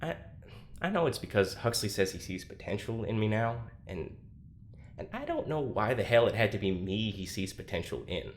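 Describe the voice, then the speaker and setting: Troubled young man, low halting voice. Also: sing-song, a little hiss or hum. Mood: self-doubting, despondent. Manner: halting, self-deprecating, with dry sarcasm. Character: troubled, sardonic, anxious young man confiding alone. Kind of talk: confessional audio drama monologue.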